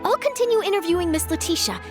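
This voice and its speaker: An eager young reporter from an anime game. Her very high voice moves in lively swings, polite and inquisitive. Music is clearly audible behind her. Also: fast. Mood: keen, purposeful. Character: keen, expressive young woman reporter, supportive and inquisitive. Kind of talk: anime video game voice acting.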